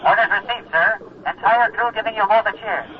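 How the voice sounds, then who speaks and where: Male actor, anxious deferential navy crewman, high voice, brisk, 1940s radio drama, muffled sound. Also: natural voice. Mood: brisk, eager. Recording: noisy, dull vintage 1940s radio recording.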